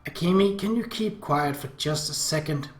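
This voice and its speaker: A man's voice: fairly high pitch, normal speed, pitched above the performer's own voice, lightly acted. A man voicing a scolding, easily flustered older sister in an anime visual novel, indignant and exasperated. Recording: roomy echo.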